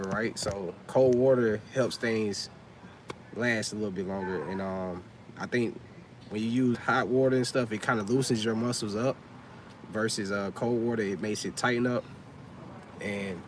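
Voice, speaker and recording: A man's voice: fairly low pitch, a bit bright, a little hiss or hum. Laid-back slangy man in his thirties. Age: 30s. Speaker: vlogger on a livestream. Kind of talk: casual livestream chat.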